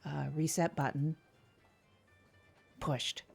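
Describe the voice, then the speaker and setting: A woman's voice: low voice, level tone, slow, vocal fry, darkish tone, husky, faint music behind, full character voice. A woman playing an anxious, naive small-town podcast co-host, talking earnestly in a rambling, repetitive way on a comedy show. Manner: earnest, rambling, repeats herself. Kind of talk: improvised mock local-news podcast chat.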